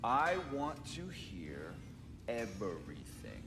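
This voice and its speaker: Brash rambling fellow, wildly swooping voice. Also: male voice, low-pitched, sing-song, takes it slowly, over music, heavily acted. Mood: woozy, teasing. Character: brash, cheeky wounded dwarf, rambling and affectionate. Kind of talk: animated video game acting.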